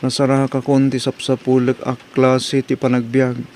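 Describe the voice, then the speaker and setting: A man's voice: mid-range voice, completely flat delivery, normal speed, a little mellow, close to an everyday voice. A man playing a worried, bewildered husband in an Ilocano radio drama, speaking hesitantly as if thinking aloud. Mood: puzzled, musing. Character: worried, bewildered husband confiding in his mother.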